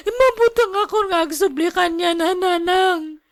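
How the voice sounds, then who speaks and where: An actress playing a timid, hesitant young wife in a radio drama, speaking with an Ilocano accent. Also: female voice, extremely high pitch, moderate pace. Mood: tearful, ashamed.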